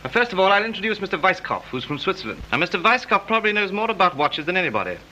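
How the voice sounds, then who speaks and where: An actor playing a formal, methodical police inspector in a 1930s film, speaking with authority. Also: male voice, high-pitched, big pitch swings, very fast, bright, forceful tone, heavily acted. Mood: brisk, formal. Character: brisk, methodical male police inspector addressing assembled suspects. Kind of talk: 1930s British film acting. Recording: tinny, hissy 1930s film soundtrack.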